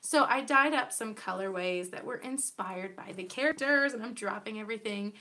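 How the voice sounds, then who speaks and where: A young woman hosts a homespun knitting podcast, rambling happily through her projects. Her voice is raspy from a recent cold. She talks in a chatty, bubbly way, full of little fillers and asides. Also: mid-range voice, moderate pace, pushed and bright. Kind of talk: casual show-and-tell chat.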